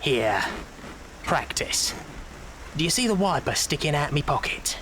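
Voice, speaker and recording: An actor playing a wily old leader of child pickpockets in an audio drama, coaxing in drawn-out phrases with faint menace. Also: male voice, a little high, sing-song, sharp tone, slight background noise, heavily acted.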